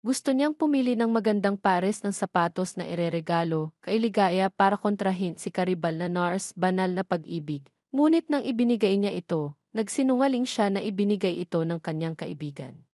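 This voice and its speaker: A woman's voice: mid-range voice, a little mellow, dry recording, clean studio sound. A woman reading scripted narration for an audio drama love story.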